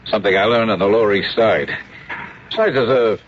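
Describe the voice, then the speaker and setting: An actor playing a scheming, jealous American talent agent with a tough, hard-edged way of talking in a radio drama. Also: male voice, on the low side, a little fast, slight background noise, lightly acted. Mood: slick, chummy. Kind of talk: radio drama acting with confessional narration. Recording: muffled radio drama recording with music.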